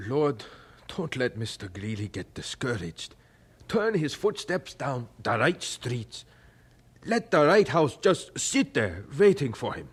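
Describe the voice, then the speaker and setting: An actor playing an earnest, stubborn German missionary in an old-time radio drama, speaking fervently and deliberately. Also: male voice, mid-range voice, very animated, a little hiss or hum. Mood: prayerful.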